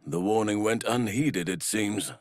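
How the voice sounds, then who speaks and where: An actor playing a big, calm, earnest male elf druid in a fantasy video game, speaking in a measured, gentle, solemn way. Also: fairly low pitch, no room sound, clean studio sound, lightly acted.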